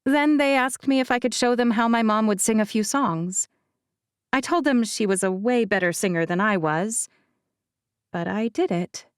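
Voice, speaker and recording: A woman voicing a guarded, self-effacing young actress in an audiobook, telling her story in a measured, confiding way. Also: fairly high pitch, clear, resonant tone, dry recording, clean studio sound, lightly acted. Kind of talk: audiobook character dialogue.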